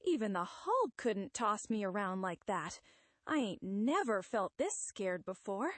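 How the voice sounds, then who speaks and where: A woman voicing a hulking orange rock monster hero, a gruff but tender tough guy with slangy, ungrammatical talk. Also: medium pitch, very animated, a little slow, no echo. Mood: desperate.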